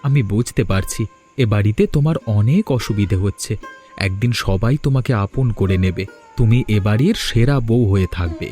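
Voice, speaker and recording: A man voicing a caring young husband in a cartoon, speaking in a calm, earnest and reassuring way. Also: on the low side, normal speed, faint music behind, lightly acted. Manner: consoling, earnest. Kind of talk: cartoon voice acting.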